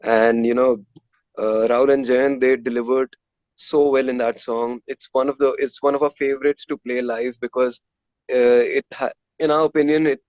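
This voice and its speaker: An Indian-accented man talks as a musician being interviewed, in a medium-pitched voice. He is candid and emphatic, breaking his thoughts up with conversational fillers. The recording is dry and close, with very little room sound. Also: a little mellow, dry recording. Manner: emphatic, leans on filler phrases like "you know".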